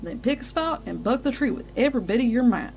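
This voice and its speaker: A woman's voice: on the high side, animated, quick pace, gravelly, darkish tone, slight background noise. Woman voicing a grave farm pony big sister. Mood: solemn urging. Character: stern solemn farm pony mare, protective big sister. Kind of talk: dramatic fan fiction audio reading. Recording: music behind the voice. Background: music.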